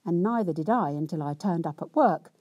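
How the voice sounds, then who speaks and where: A woman voicing a poor, weary, hard-working husband who grumbles in a gruff, exasperated way. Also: fairly low pitch, expressive, fairly quick, dark tone, no echo, clean studio sound.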